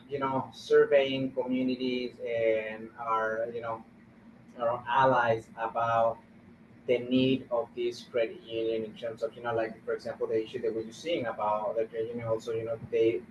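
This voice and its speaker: Guy w talking on a webinar, kinda halting, muffled roomy audio. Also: mid-range voice, fairly even intonation, recorded from a distance, slight background noise. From Guatemala. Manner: halting, searching for words. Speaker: community organizer on a panel. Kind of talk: informal webinar talk.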